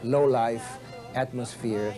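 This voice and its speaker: A man's voice: mid-range voice, fairly even intonation, takes it slowly. A man from Argentina, an orchestra violinist speaking earnestly and measuredly in a documentary interview. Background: music.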